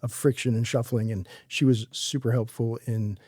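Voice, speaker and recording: A man's voice: mid-range voice, fairly even intonation, normal speed, darkish tone, husky, dry recording, studio quality. A middle-aged man hosting a podcast interview as a fire chief, asking questions in a conversational, upbeat way.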